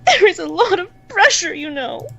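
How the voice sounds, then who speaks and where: Very high-voiced, haughty alien noblewoman. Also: expressive, creaky, sharp tone, dry recording, heavily acted. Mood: melancholy self-pity. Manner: slow, theatrical, formal speech. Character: haughty, sinister, lonely alien noblewoman with grand self-pity. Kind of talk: dramatic character acting in narrated story.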